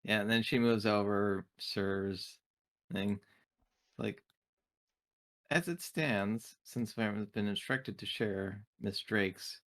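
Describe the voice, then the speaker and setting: A man narrating and speaking for his character in a tabletop roleplaying game, in a measured way. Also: fairly low pitch, a bit bright.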